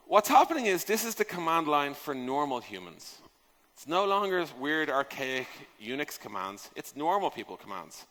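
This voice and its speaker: A man's voice: fairly high pitch, a bit bright. A man giving an informal conference talk as a speaker, conversational and emphatic in delivery. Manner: emphatic, conversational, rhetorical questions, casual swearing. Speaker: conference speaker on software product design. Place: large conference hall, on stage. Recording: echoey hall, long reverb.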